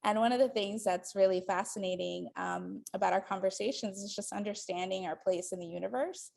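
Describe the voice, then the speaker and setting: A woman's voice: medium pitch, a little fast, gravelly, darkish tone. A woman in her forties, from the Dominican Republic, giving a lecture to a live audience in a hall, thinking aloud with many ums and restarts. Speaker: guest lecturer.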